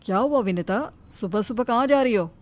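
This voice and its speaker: A woman voicing a mild, caring young husband who asks questions with gentle concern in a cartoon story. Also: on the high side, lively intonation, a little fast, close to an everyday voice. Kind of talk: cartoon moral story voice acting.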